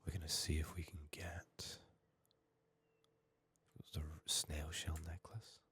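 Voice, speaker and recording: Male ASMR game streamer, ill and hoarse: rock-bottom pitch, flat delivery, subdued breathy murmur with whispering and long pauses. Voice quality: husky, raspy, breathy. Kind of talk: soft-spoken whispered ASMR game playthrough.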